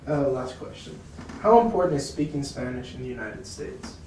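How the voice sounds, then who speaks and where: Slow-paced interviewer in a very echoey room. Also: medium pitch, lively intonation, darkish tone. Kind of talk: informal question-and-answer interview. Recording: very echoey room, distant mic, noisy.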